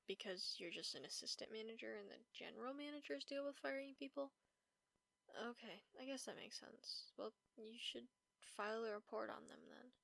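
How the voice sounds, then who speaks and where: A woman playing a caring vampire roommate in a comfort audio roleplay, speaking softly, gently and reassuringly. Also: a little high, vocal fry, dry recording. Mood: sympathetic. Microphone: close, dry microphone.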